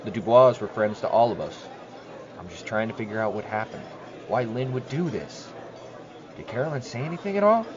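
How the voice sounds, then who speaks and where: A man's voice: lowish voice, expressive, brisk, a crowd in the background, natural voice. A man playing a probing, persistent suburbanite questioning neighbors in an audio drama. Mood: concerned. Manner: questioning, insistent. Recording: muffled, clearly noisy track.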